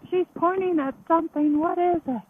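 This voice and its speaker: A woman's voice: very high-pitched, a little fast. A woman riding pillion, chatting and teasing affectionately with her husband. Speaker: vlogger's wife. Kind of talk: casual chat while riding. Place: outdoors on a motorcycle. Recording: tinny intercom with faint road noise.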